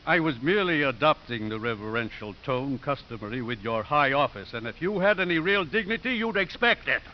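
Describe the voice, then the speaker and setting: A gentle old priest voiced by a male actor with very expressive, rising and falling speech. He is courteous and dryly funny in a 1950s radio play, on a dull recording with faint hiss. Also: mid-range voice, fairly quick, close to an everyday voice. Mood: polite, teasing.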